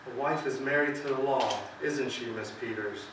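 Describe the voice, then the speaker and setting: A man playing a confident, condescending county attorney in an amateur stage play, with a brisk, patronising manner. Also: medium pitch, natural voice. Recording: echoey stage, distant mic, faint noise.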